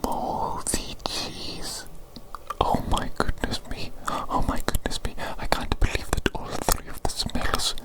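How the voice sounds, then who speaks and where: A woman whispering softly into a close microphone, repeating each phrase and gently teasing as an ASMR doctor roleplay. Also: takes it slowly. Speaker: ASMR creator. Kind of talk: ASMR roleplay of a medical exam.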